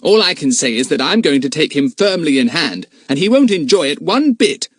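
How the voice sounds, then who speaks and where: A man's voice: on the high side, big pitch swings, moderate pace, dry recording, studio quality, voice pushed up. A male narrator voicing an emphatic, hot-tempered schoolboy. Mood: indignant. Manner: indignant, emphatic.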